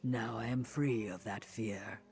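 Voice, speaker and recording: An actor playing a calm, thoughtful hero in a fantasy game, speaking in a measured, formal way. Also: male voice, fairly low pitch, slightly rough. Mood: calm, relieved. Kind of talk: fantasy video game dialogue.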